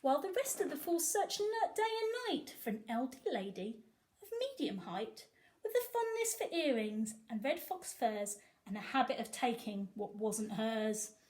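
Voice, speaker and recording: A woman's voice: fairly high pitch, sing-song, moderate pace, a little mellow. A woman reading a picture book expressively to children, emphatic and sing-song on rhymes.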